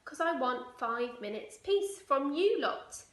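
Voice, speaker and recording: A woman's voice: high-pitched, very animated, takes it slowly, voice pushed up, exaggerated voice. A woman voicing a weary, firm mother who is exasperated with her children, in a storybook reading. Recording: echoey room, faint noise.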